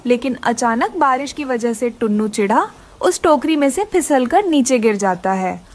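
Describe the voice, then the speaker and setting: A woman's voice: on the high side, lively intonation, moderate pace, a little mellow. A woman narrating a scripted children's story.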